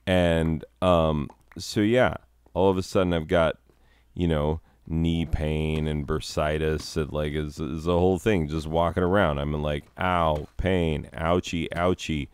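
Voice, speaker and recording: A middle-aged man with a very deep, smooth voice and a slight hoarseness from a cold talks slowly and rambles through a self-deprecating story. The sound is close and dry, with almost no room around it. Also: steady tone, no room sound. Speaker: teacher and gaming video host. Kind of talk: rambling casual commentary over gameplay.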